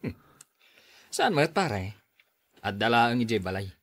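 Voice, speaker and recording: An actor playing a surly, jealous, hard-drinking husband in a Filipino radio drama, with a Filipino accent. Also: male voice, fairly low pitch, big pitch swings, takes it slowly, no room sound, exaggerated voice. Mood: sullen, jealous.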